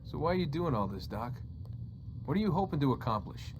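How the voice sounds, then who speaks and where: An actor playing a sarcastic, sceptical young captive in a video game, casual and challenging. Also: male voice, fairly high pitch, sing-song, a little fast, music in the background, poor audio. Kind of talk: dramatic video game acting.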